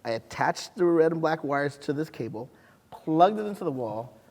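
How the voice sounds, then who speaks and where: Animated storytelling man in a reverberant hall. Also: fairly high pitch, normal speed. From Canada. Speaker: science museum chief executive. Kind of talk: storytelling panel chat.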